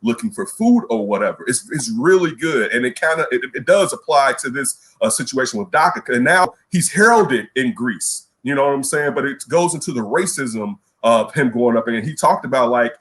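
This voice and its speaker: A man co-hosting a casual sports talk show, ranting in an animated way and repeating words when he gets worked up. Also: mid-range voice, moderate pace, hardly any pauses. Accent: Chicago. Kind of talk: casual radio show rant.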